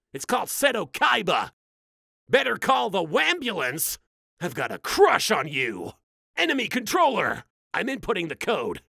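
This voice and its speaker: A man's voice: extremely high pitch, vocal fry, no room sound, clean studio sound, voice pushed up, exaggerated voice. A man voicing an arrogant, pompous, rich young card duelist in a bombastic video game parody. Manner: bombastic, shouts attack calls.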